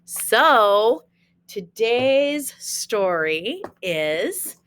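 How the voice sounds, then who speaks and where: Lady teacher reading picture books to little kids, really sing-song up and down, chatty, takes her time. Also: sits high. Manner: expressive, addresses young listeners with questions. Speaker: teacher reading a storybook aloud to children. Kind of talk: children's read-aloud with chatty asides.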